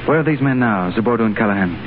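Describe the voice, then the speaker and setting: A man's voice: fairly low pitch, lively intonation, very fast, noisy background, close to an everyday voice. A man playing a clipped, gallant British agent. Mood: brisk. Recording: tinny 1930s radio broadcast.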